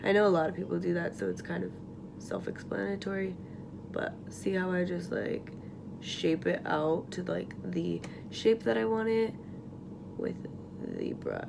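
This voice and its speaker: A young woman with a raspy morning voice chatting casually through a makeup tutorial at home, full of likes and ums and trailing off into whispers. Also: on the low side, sing-song, a little slow, noisy background. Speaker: beauty vlogger. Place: at home, getting ready.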